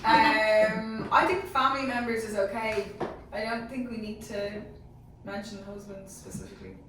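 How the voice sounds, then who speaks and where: Female panel member, casual discussion, even intonation, heard far off in a strongly echoing room. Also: a little high, moderate pace, over music. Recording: echoey room, distant mic, noisy.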